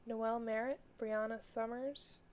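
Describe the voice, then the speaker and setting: A woman playing a measured first-person narrator in a science-fiction audio drama. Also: on the high side, fairly even intonation, darkish tone, slight background noise, close to an everyday voice.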